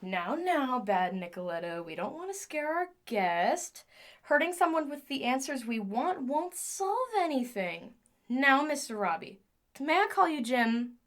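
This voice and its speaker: A woman playing an excitable, scheming inventor in an audio drama, theatrically talking to herself as her moods swing. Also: high voice, unhurried, full character voice. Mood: prim, coaxing.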